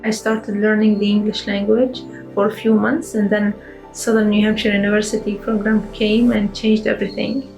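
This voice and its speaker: A young woman in her twenties from Syria, a student ambassador, telling her own story in a slow, careful testimonial. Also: medium pitch, little pitch movement, rough recording. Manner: slow, careful speech with pauses. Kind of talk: testimonial in a promotional video. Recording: soft guitar music behind.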